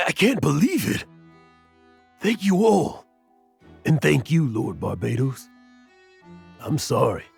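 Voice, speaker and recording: A man playing a weary, remorseful old adventurer in a video game, speaking in a gravelly, halting, emotional voice. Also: mid-range voice, darkish tone, full character voice. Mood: overwhelmed, relieved. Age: older. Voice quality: gravelly, raspy. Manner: emotional, halting, self-reproachful. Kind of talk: English video game voice acting.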